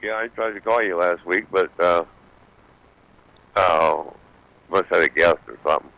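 Low, gravelly, terse male caller. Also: measured pace, sharp tone, no echo, rough recording. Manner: terse and plain-spoken. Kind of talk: casual phone chat.

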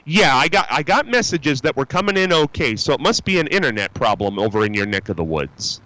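A man hosting a radio call-in show, talking in a loose, folksy ramble with plenty of ums and restarts. His pitch swings up and down as he talks. The sound is close and dry. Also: big pitch swings, brisk, pushed and bright, dry recording, poor audio. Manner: rambling, chatty, with many fillers and restarts; upbeat and folksy. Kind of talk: casual call-in chat. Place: radio studio.

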